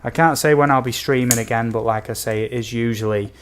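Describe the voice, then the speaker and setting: A man from the UK with a slightly croaky voice, chatting casually and easily to viewers as a livestream host. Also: mid-range voice, fairly even intonation, fairly quick, a little hiss or hum. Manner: easygoing, chatty, addresses viewers as buddy and guys. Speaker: game streamer, host.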